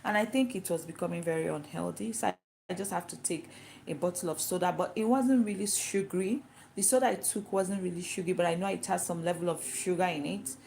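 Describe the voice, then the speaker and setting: Chatty Nigerian woman with a low voice. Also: quick pace, creaky, darkish tone, slight background noise. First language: Igbo. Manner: chatty and explanatory, asks herself questions and answers them. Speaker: vlogger. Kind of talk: casual talk to camera.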